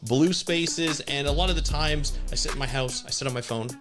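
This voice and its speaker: A man's voice: on the high side, a little fast, over music. Upbeat Canadian podcast host, man. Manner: upbeat and enthusiastic, with some repeated words. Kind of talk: scripted podcast intro and interview.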